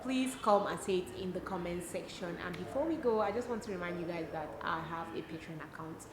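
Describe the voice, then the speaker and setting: A woman with a Cameroonian accent hosting a casual advice vlog, talking in an animated, emphatic way and often posing rhetorical questions. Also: lowish voice, a little fast, crowd noise. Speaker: host of a YouTube channel. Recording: echoey room, clear background noise.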